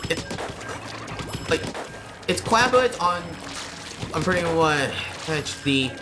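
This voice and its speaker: Man, fairly high voice, game streamer, informal rambling chat, exclamatory, prominent music behind. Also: big pitch swings, takes it slowly. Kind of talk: casual gaming stream chat with viewers.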